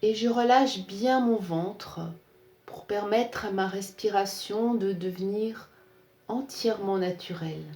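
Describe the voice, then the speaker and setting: A woman leading a guided yoga relaxation in a calm, soothing, measured way, leaving pauses between phrases. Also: mid-range voice. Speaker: yoga nidra instructor. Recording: roomy, echoing sound.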